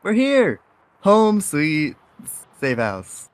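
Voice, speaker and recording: A man's voice: on the high side, huge pitch swings, extremely slow, clear, resonant tone, no echo, full character voice. A man playing an easygoing, cheeky young rogue in an audio drama, talking in casual, wry slang. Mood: cheerful. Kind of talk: audio roleplay drama acting.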